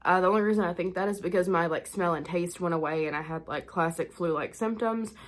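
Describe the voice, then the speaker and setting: A woman's voice: fairly low pitch, fast talker. A young woman hosting a spooky vlog, sounding stuffed-up and nasal and a bit short of breath, chatting casually with lots of fillers. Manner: chatty, rambling with many "like" fillers. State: congested, nasal from illness. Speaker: spooky-content creator and host. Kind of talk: casual chat to camera.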